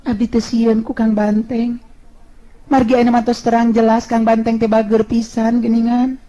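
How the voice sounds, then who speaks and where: A woman voicing a polite, demure and grateful young village woman in a storytelling, gentle and deferential, on a dull recording. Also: on the high side, flat delivery, moderate pace, lightly acted. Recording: dull, lo-fi sound.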